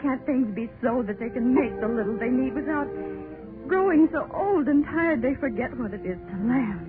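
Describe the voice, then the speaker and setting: A tender young bride with a high voice and an emphatic, sentimental delivery. It is 1930s radio drama, narrow in sound, with faint music behind. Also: female voice, animated, moderate pace. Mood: anguished pleading. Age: young adult. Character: adoring, earnest young bride, tender and emotional. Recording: thin, muffled 1930s radio recording.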